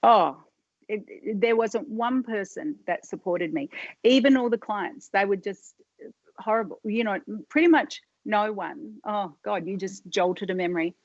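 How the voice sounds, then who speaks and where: A middle-aged woman talks through her story as a podcast guest. She rambles, breaks off mid-sentence and leans on fillers. Her voice sits at a middle pitch in a dry, slightly muffled recording. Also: unhurried, dry recording. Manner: rambling, self-interrupting, many fillers. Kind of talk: casual interview storytelling.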